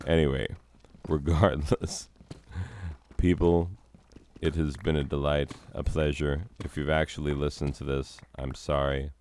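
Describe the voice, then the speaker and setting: A man, a teacher who hosts his own gaming videos, telling a long rambling story about his week in a self-deprecating way, his voice a little hoarse from a cold. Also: a bass voice, fairly even intonation, measured pace, darkish tone, slight background noise. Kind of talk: rambling casual commentary over gameplay.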